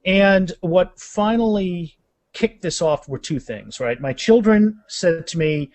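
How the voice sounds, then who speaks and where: A middle-aged man speaking casually as an author guest in an interview, animated and often repeating phrases as he goes. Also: high-pitched, takes it slowly, no echo.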